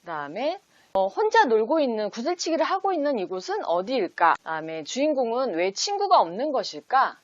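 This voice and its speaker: A woman's voice: on the high side, no room sound, muffled recording. A woman hosting an instructional talk for parents, explaining step by step and posing example questions as if to a child. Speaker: host teaching parents how to read picture books with children. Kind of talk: instructional video talk in numbered steps.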